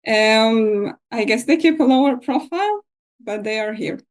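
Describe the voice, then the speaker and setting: A woman in her thirties answers questions in a casual interview, hesitant and full of small pauses. Her voice sits a little high. She was born in Russia and grew up in Israel. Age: 30s. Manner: hesitant, many ums and uhs. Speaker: guest.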